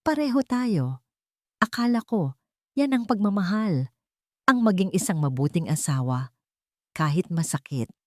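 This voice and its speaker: A woman voicing a resolute, dignified woman survivor in a radio-style story, firm and clear. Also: fairly low pitch, lively intonation, unhurried, pauses often, a little mellow, no room sound, clean studio sound. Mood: reflective, tender.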